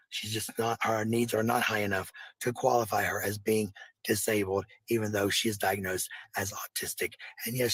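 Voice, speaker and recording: A man's voice: lowish voice, a bit bright, husky, no room sound. A man telling personal stories in a casual, blunt, defensive way, with some swearing. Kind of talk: casual personal storytelling and venting.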